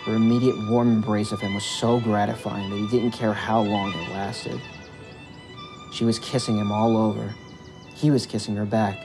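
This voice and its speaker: A man reading a scripted story as its narrator, with music behind his voice. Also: fairly low pitch.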